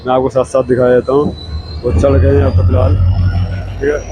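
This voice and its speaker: A hoarse young man with a cold, chatting casually to camera as a vlogger and repeating himself often. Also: medium pitch, little pitch movement, a little fast, background noise. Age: young adult. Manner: chatty and repetitive, keeps saying okay. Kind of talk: casual vlog talk to camera. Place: railway station platform, outdoors. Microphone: clip-on mic.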